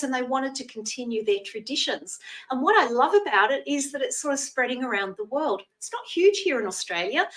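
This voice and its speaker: A Scottish woman in her sixties giving a live tarot reading, chatting in a gentle, reassuring way and using terms of endearment. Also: high voice, distant mic, poor audio. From Scotland. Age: 60s. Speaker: psychic tarot reader.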